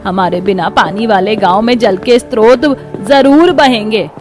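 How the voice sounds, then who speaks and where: Woman doing an old village auntie, dramatic lamenting, motherly, slow talker, music behind. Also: mid-range voice, close to an everyday voice. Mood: sorrowful consoling. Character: elderly village mother-in-law, long-suffering, lamenting, motherly.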